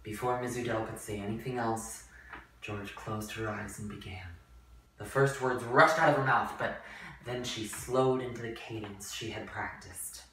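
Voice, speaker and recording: A woman's voice: very deep voice, a bit bright. Young woman narrating in very echoey room. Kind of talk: scripted story narration. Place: bare indoor room. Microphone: camera mic a few feet away. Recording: echoey room, distant mic, faint noise.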